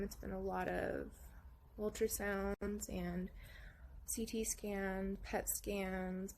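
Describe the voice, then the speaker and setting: A young woman at home giving a soft, halting personal update, her voice hoarse and raspy after surgery, with many pauses and frequent throat-clearing. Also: mid-range voice, a little hiss or hum. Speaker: vlogger sharing her recovery. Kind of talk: casual personal update to camera.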